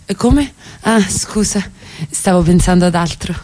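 A woman's voice: lowish voice, a little slow, darkish tone, close to an everyday voice. A woman acting in radio theatre as a middle-class wife who is by turns seductive and coolly evasive.

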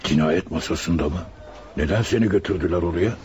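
A man acting a middle-aged scheming restaurant owner in a radio drama, measured and probing, feigning fatherly concern. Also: low-pitched, darkish tone, lightly acted. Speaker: voice actor. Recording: radio play with music underneath.

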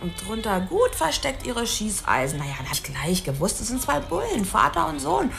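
Brash, high-voiced streetwise call girl. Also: huge pitch swings, normal speed, creaky, fairly bright tone, faint music behind, exaggerated voice. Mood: crude storytelling. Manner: slangy, drawling, offhand frankness. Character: brash, streetwise young female call girl, crude and cagey. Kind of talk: dramatic radio play dialogue.

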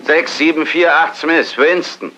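An actor playing an obedient ministry clerk answering dutifully in clipped words, in a radio drama with a radio filter. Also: male voice, on the high side, measured pace, sharp tone, slight background noise. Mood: tense, obedient. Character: obedient male ministry clerk under interrogation. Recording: dull radio-like sound.

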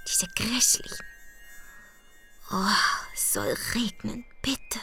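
A woman's voice: medium pitch, gravelly, exaggerated voice. A woman playing a bitter, tormented grown daughter in a German radio drama, intense and brooding, often half-whispering. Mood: anguished brooding.

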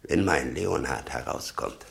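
Bitter old dad in a radio play, super deep croaky voice, muttery, gets worked up. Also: male voice, measured pace, a bit bright, heavily acted. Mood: fervent, secretive. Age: older. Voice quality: gravelly, breathy half-whispers. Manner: brooding, muttering with sudden emphatic outbursts. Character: embittered old father of a convicted murderer, doting, obsessive. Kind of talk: 1960 German radio play acting.

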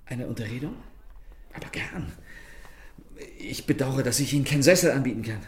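A man acting a suave, theatrical gentleman thief in a German radio drama, mockingly polite and amused even when cornered, with breathy asides. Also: mid-range voice, fairly quick, natural voice. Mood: amused, defiant.

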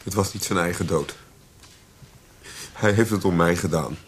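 An actor playing a troubled, guilt-laden son in a radio drama, speaking tersely and haltingly. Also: male voice, deep voice, slightly rough. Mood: subdued.

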